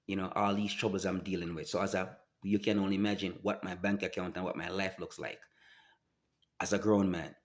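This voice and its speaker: A man, telling his personal story to camera in a halting, earnest way with many restarts. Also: sits low. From Jamaica. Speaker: vlogger.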